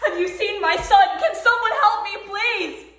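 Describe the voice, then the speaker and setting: Frantic mother played by a woman, stage monologue, high-pitched and emphatic, halting with heavy pauses, in an echoing room. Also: a bit bright. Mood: frantic, pleading. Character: frantic mother of a middle schooler, desperate and grieving. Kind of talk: dramatic interpretation monologue. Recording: echoey room, voice ringing off walls.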